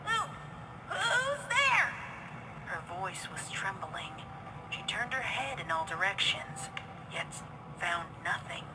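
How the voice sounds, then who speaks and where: A woman's voice: fairly low pitch, unhurried, very noisy. A woman reading scripted horror fiction as a dramatic narrator.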